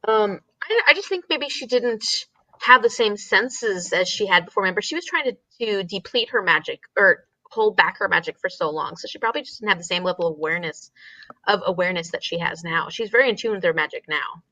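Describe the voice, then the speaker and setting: A woman with a bright voice talks as co-host of a casual book discussion. She explains in an animated way, circling back on her words for emphasis. The sound is dry and a little lo-fi. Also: mid-range voice, fairly quick, no echo.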